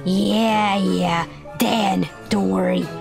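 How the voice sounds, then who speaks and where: A woman's voice: medium pitch, steady tone, very slow, gravelly. A woman voicing a bossy, self-important male scientist in a video game roleplay. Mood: commanding. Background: music.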